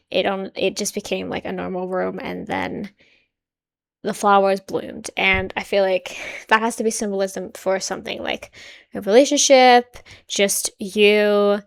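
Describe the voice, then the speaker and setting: A young adult woman whose native language is Swedish, hosting a reaction video in casual, musing commentary that often trails off quietly. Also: medium pitch, fairly even intonation, normal speed, vocal fry. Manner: musing, hedging, soft-spoken with frequent quiet trailing asides.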